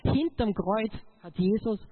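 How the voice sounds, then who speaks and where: High-pitched Austrian man preaching, phone-like sound. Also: unhurried, husky. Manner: emotional, emphatic, addresses listeners directly. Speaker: motivational speaker giving a testimony.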